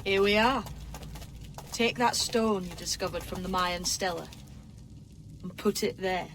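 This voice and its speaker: An actress playing a tough, streetwise young assassin, blunt and measured, in a video game cutscene. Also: female voice, on the low side. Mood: instructive. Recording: clear ambient noise behind game dialogue.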